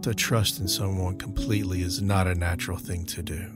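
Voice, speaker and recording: Very deep male narrator over music. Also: little pitch movement, fairly quick. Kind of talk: scripted audio drama narration.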